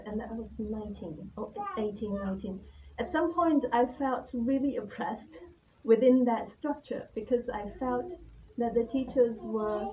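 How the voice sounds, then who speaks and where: A woman from Taiwan gives an informal, personal talk in a nervous, hesitant, candid manner. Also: on the high side. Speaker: artist-in-residence guest speaker. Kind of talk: informal spoken presentation about own life and work. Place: live talk at an art venue. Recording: distant mic, dull sound, faint background noise.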